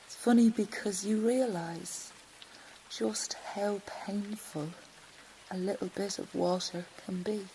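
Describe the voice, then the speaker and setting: An actress playing a grieving mother in an audio drama monologue, wistful, quietly stoic and often hushed. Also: mid-range voice, fairly even intonation, slight background noise, low-quality recording. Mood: hushed sorrow. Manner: slow, reflective, often hushed to breathy near-whisper. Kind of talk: intimate audio-play monologue.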